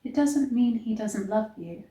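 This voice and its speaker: A gentle storybook woman, voiced by a female reader, speaks slowly and soothingly. Her soft, breathy words sit in an echoey room. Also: on the high side, animated. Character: soothing, breathy long-haired woman welcoming a newcomer.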